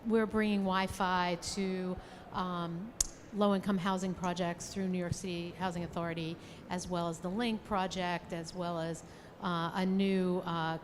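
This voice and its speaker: A woman's voice: lowish voice, little pitch movement, a little slow. Woman, audience member, chatty, wordy, reverberant hall, faint room noise. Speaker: audience member asking a question. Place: conference hall.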